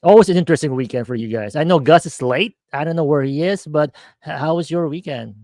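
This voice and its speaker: A man's voice: a little high, quick pace, a little mellow, no echo, studio quality. A man co-hosting a casual chat show, joking around, laughing often and tripping over his words. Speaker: podcast co-host.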